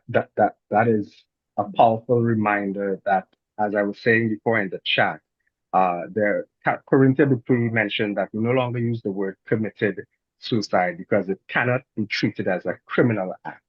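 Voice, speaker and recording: A man's voice: lowish voice, breathy edge, no echo. A man with a Caribbean accent moderating a discussion in a careful, formal, wordy way over muffled online call audio. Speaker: panel moderator.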